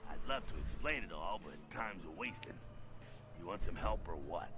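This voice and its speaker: A man playing a jovial, streetwise vampire mentor in a video game, with gravelly voice and familiar, folksy slang. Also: sits low, expressive, moderate pace, over music. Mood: brisk, coaxing. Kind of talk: animated video game voice acting.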